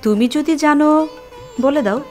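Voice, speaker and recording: A woman playing a trusting, gently frank young woman in love in an audio drama. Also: high-pitched, normal speed, soft background music, lightly acted. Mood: tender, frank.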